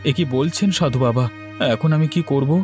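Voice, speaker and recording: A man's voice: mid-range voice, moderate pace, a little mellow, faint music behind, close to an everyday voice. A man playing a devout, anxious king and father in a fairy-tale cartoon, with a theatrical, deferential and pleading manner. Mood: worried, pleading.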